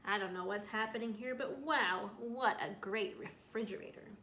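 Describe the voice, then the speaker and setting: A woman's voice: medium pitch, normal speed, bright, forceful tone. Woman reading as easygoing storybook shopkeeper guy, echoey. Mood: amazed. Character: easygoing, hesitant male junk-shop keeper in a storybook. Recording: roomy echo, clear background noise.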